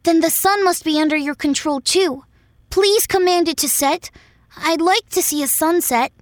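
A woman voicing an earnest, gentle little boy prince from another planet in a children's fairy tale. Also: very high-pitched, normal speed, no room sound, clean studio sound. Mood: polite, let down.

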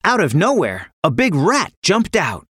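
A woman narrating a scripted children's story in an expressive, dramatic way. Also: fairly low pitch, a little slow, slightly rough, dry recording, studio quality.

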